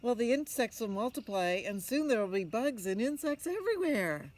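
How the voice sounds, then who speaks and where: A woman's voice: fairly high pitch, sing-song, normal speed, darkish tone, voice pushed up. An older woman voicing a doubtful mother in a storybook, questioning her young son in a pointed way. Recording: outdoor ambience with noticeable background noise.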